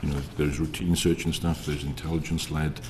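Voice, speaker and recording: Very low, flat-voiced official. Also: male voice, brisk. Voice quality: very low, quiet voice. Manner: hesitant, filler-heavy, procedural. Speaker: prison service official giving evidence. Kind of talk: formal evidence to a committee. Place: parliamentary committee room. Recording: reverberant hall with faint noise.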